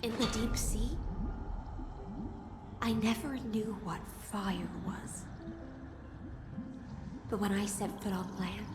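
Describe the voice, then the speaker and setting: A woman voicing a melancholy knight of the sea in a video game, speaking in a measured, poetic, solemn way. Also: mid-range voice, natural voice. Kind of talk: scripted game voice acting. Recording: echoing voice under loud music.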